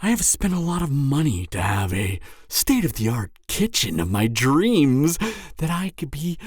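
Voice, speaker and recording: A man's voice: mid-range voice, very animated, husky, dry recording, clean studio sound. Man playing a theatrical, swooping cannibal killer. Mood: gloating, amused. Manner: theatrical, drawn-out phrasing with frequent chuckling. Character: gleeful, gloating cannibal serial killer man, refined and theatrical. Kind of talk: roleplay audio drama monologue to the listener.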